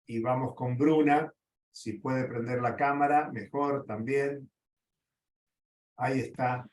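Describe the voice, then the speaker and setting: A man moderating an online class, brisk and encouraging. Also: mid-range voice, steady tone, a little mellow, slight background noise. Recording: roomy audio from a distant mic with faint ambience.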